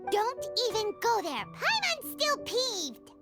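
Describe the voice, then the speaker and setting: A woman voicing a tiny, childish fairy companion in an anime game, squeaky, excitable and easily peeved. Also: slow pace, over loud music, heavily acted. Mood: sulky. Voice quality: squeaky, piping. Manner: speaks of herself in third person, exclamatory.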